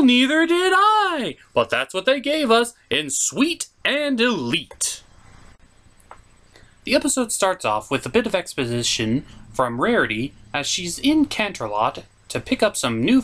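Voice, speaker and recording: A comedic cartoon review host with a very lively, swinging pitch. The delivery is scripted, theatrical and sarcastic, often bursting into exclamation.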